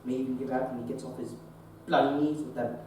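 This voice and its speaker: Young man as an anguished Victorian surgeon on stage, dark tone, heard from afar in a reverberant theater. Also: medium pitch, steady tone, slight background noise, natural voice. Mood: despairing. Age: young adult. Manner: halting, then impassioned outbursts. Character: troubled Victorian surgeon Treves, despairing. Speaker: stage actor. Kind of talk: teen stage play performance. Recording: echoey theater recorded from far off.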